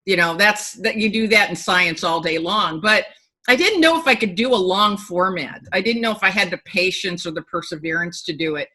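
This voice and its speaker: Bright-voiced woman telling stories animatedly. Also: medium pitch, a little fast. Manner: animated storyteller, emphatic and candid. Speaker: author guest. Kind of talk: interview on a video call. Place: home room with bookshelves. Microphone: video call.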